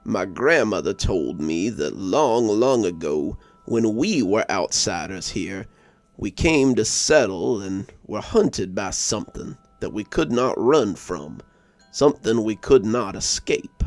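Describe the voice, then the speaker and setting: A man's voice: lowish voice, sing-song, no room sound, soft background music, full character voice. A man voicing a solemn, stoic local who recounts his tribe's old legend in a horror story. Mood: grave, foreboding. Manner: grave, stoic, deliberate. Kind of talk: storybook dialogue in horror narration.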